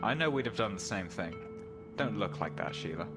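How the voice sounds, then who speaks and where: A man's voice: lowish voice, expressive, fairly quick, over music, close to an everyday voice. A man playing a self-assured, defensive man who is dismissive and assertive, in an amateur radio drama.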